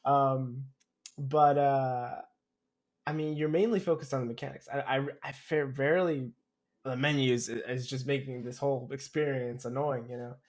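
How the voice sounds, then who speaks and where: Give a young man with a mid-pitched voice. He talks as a podcast co-host in loose, casual chat. He rambles and hesitates, circling back and softening what he says. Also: steady tone, moderate pace.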